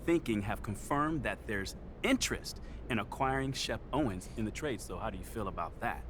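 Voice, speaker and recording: An actor plays a probing male reporter in a video game. His voice sits fairly low. There is obvious rumbly background noise. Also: expressive, moderate pace, natural voice. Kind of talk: naturalistic video game cutscene acting.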